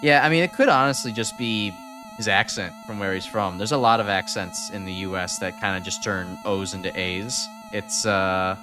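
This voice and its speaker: Young Chicago-accented male streamer, low-pitched, loose and rambling delivery, soft music underneath. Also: moderate pace. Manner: rambling, self-correcting, chuckling. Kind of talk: casual game stream chat.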